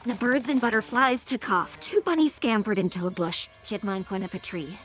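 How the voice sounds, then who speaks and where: A woman's voice: mid-range voice, normal speed, background noise. A woman giving a scripted, storybook-style narration as the narrator of a tale.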